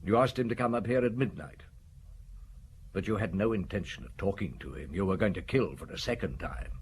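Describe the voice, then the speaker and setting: An actor playing a blunt, probing middle-aged police inspector in a radio drama. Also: male voice, on the low side, animated, brisk, breathy edge. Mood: stern.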